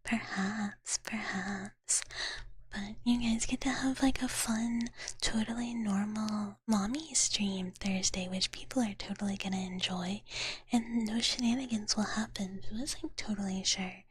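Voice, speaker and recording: A woman streaming ASMR in a soft, gentle whisper, often repeating her phrases, her voice a little tired. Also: medium pitch, steady tone, measured pace, slightly rough, no echo. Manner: speaks of herself in third person. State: voice tiring, slightly strained. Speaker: live streamer hosting as a virtual character.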